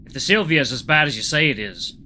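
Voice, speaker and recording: A man's voice: fairly high pitch, animated, fast, pushed and bright, slight background noise. A man voicing an anxious but persistent animal hero in a story, speaking in an earnest, probing, informal way. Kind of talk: story character reading.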